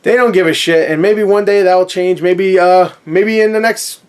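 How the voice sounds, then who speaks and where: Male vlogger, high-pitched voice, conversational and opinionated rant delivery, sarcastic with swearing. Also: moderate pace, smooth. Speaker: movie review vlogger.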